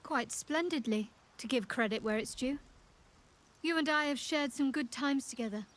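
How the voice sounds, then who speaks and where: An actress playing a guarded, wry young cleric of a dark goddess in a video game, speaking in a measured, reserved way. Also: female voice, high-pitched, natural voice. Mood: wry, warm. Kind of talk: video game character dialogue.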